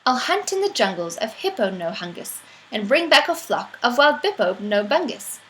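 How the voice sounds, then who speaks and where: A woman's voice: a little high, big pitch swings, normal speed, noisy background. A woman reading a rhyming children's picture book aloud in a playful, theatrical storytelling manner.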